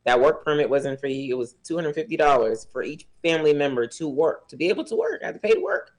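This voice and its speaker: A man's voice: fairly high pitch, very animated, fast, low-quality recording. A man from Liberia speaking earnestly as a guest on a talk show, with many fillers. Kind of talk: casual interview talk.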